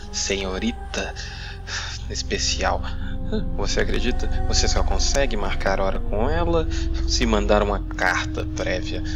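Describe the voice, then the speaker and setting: Jaded steampunk streetwalker woman, voiced by a male game master, low-pitched, sardonic and sighing, with prominent music underneath. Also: lightly acted. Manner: sighing, bitter, flirty, calls listener "my dear". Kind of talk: tabletop role-play improvised dialogue.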